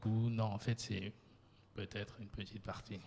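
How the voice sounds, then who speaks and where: A man from Ivory Coast hosting a casual interview podcast, animated and emphatic, often repeating himself. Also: on the low side, measured pace, vocal fry, slight background noise. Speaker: podcast host. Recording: long hall reverb.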